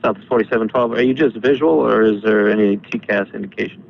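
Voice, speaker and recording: A male American air traffic controller speaks very quickly over a muffled radio channel with some static. His delivery is clipped and procedural, businesslike yet courteous. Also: lowish voice, creaky. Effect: air traffic radio transmission. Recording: dull lo-fi radio with static noise.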